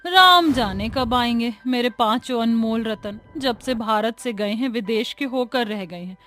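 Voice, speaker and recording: Exasperated village matron, played by a woman: very high voice, gossipy, dramatic, cartoon comedy. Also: a little slow, a bit bright. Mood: wistful, gossipy. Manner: dramatic, colloquial, complaining. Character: exasperated, scolding village mother-in-law and gossipy neighbor. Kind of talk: Hindi animated family comedy story.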